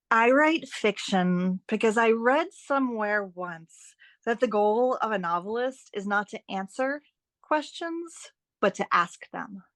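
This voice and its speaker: A woman speaking as a guest author in a podcast interview, expressive and enthusiastic in a conversational way. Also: mid-range voice, dry recording.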